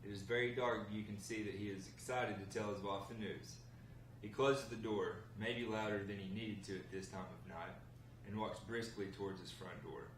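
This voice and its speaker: A man's voice: lowish voice, fairly even intonation, moderate pace. A man reading scene descriptions and camera directions as scripted narration at a table read. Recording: echoey room, distant mic, faint noise.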